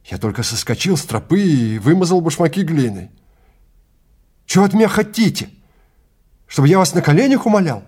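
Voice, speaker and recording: A man's voice: on the high side, very animated, fairly quick, pauses often, a little mellow, slightly rough, pitched above the performer's own voice. A man performs a desperate male client on a safari gone wrong. He sounds frightened and defensive, protesting and pleading. It is dramatic acting for a science fiction audio story.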